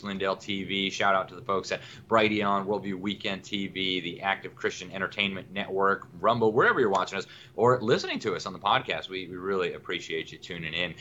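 A man hosting a talk show, greeting viewers and introducing his guest in a brisk, friendly way. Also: deep voice, pushed and bright. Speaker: host. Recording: dull lo-fi with faint noise.

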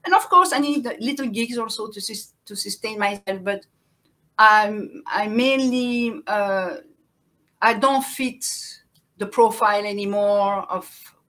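A middle-aged woman with a French accent, a guest telling her life story in a halting way, often restarting and searching for words. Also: medium pitch, bright, forceful tone. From born in Central Africa, raised in France. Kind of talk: personal life story in conversation.

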